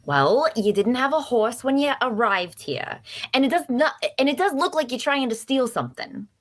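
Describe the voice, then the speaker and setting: Woman doing a nosy cheerful fantasy traveler girl, folksy and teasing, pitch swings all over. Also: big pitch swings, normal speed, fairly bright tone. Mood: suspicious, nosy. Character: chatty, nosy, cheerful young female traveler. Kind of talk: dark fantasy audio drama table read.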